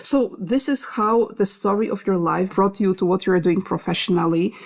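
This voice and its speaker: A woman's voice: medium pitch, normal speed, creaky. A woman hosting a conversational podcast, listening attentively and affirming her guest with short replies. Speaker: podcast host.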